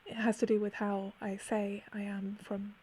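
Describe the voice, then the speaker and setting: A woman's voice: mid-range voice, flat delivery, normal speed, dark tone, husky, close to an everyday voice. A woman playing a haunted narrator of eerie memories, reciting a poetic monologue in a solemn, incantatory way. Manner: solemn, incantatory, repetitive phrasing.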